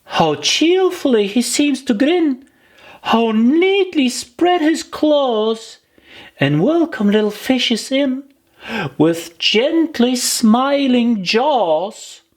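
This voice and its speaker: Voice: sits high, animated, drawn-out words, pitched above the performer's own voice, exaggerated voice. A storybook narrator voicing a forlorn, earnest little girl, plaintive and self-pitying in a children's tale. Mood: earnest reciting.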